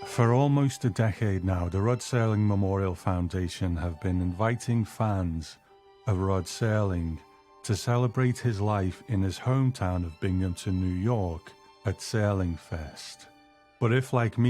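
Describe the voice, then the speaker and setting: A man's voice: sits low, warm tone. A man hosting a show, delivering scripted narration. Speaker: podcast host.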